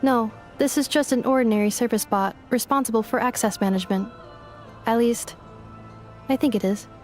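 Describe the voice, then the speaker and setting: A woman's voice: fairly high pitch, normal speed, soft background music, close to an everyday voice. A woman voicing a polite, composed robot companion in a video game, speaking precisely and formally. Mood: calm.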